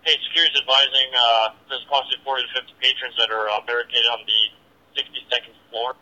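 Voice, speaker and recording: A man speaks over a muffled police two-way radio. His voice is forceful and cutting, with little melody, and he moves quickly through his radio traffic as a working officer. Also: mid-range voice, fairly even intonation.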